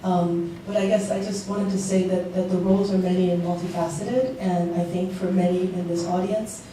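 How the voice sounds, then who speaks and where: A woman's voice: fairly low pitch, little pitch movement, moderate pace, mellow, slight background noise. Woman from Liberia on an echoey panel. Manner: earnest, with frequent ums and restarts. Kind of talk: panel remarks. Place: large packed event room. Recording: echoey hall, voice picked up from far off.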